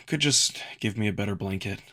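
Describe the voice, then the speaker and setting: A man's voice: lowish voice, moderate pace, bright, forceful tone. A man playing a wary, hesitant young human man in a visual novel, talking casually and a little groggily. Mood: groggy, reluctant. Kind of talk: visual novel character dub.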